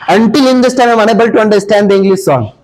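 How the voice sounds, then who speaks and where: A man's voice: sits high, rapid-fire, darkish tone, rough recording. A young man from India with an Indian accent, a senior student earnestly answering questions about learning English, full of fillers and restarts. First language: Hindi. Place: classroom.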